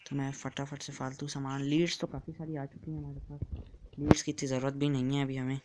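A man's voice: mid-range voice, little pitch movement, fast talker, very noisy. A man giving casual commentary while playing a block-building game, chatty and counting blocks aloud as he builds. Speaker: gamer.

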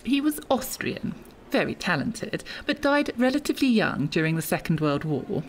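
A woman's voice: medium pitch, big pitch swings, moderate pace, close to an everyday voice, low-quality recording. A woman acts a stern museum official in a radio play. She speaks formally and curtly, with very varied intonation and a little background noise. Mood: curt, precise. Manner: formal, curt, correcting. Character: brisk, stern, precise female museum official. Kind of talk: audio drama acting.

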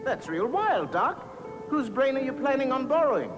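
A cheeky young male pop musician from a cartoon, very high-pitched and lively, tossing out flippant wisecracks. Music plays clearly behind him. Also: moderate pace. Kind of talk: 1960s cartoon voice acting.

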